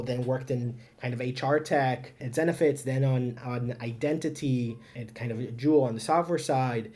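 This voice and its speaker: A man talks as a podcast guest, chatty and self-deprecating, with lots of fillers. His voice sits at a middle pitch. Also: darkish tone. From Israel. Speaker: startup founder, guest. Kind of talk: casual interview conversation.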